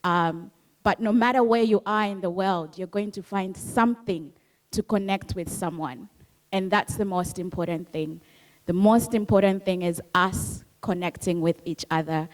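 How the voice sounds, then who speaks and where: A woman's voice: mid-range voice, moderate pace, low-quality recording. A woman from Zambia, Bemba her first language, talking earnestly and reflectively about her book at a book club. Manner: earnest, reflective, repeats key phrases. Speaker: author.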